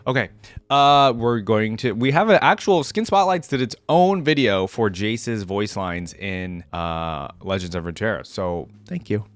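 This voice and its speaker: A man's voice: on the low side, lively intonation, measured pace, no echo. A man hosting a reaction video, reading lore aloud and adding casual, chatty commentary. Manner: informal, chatty asides.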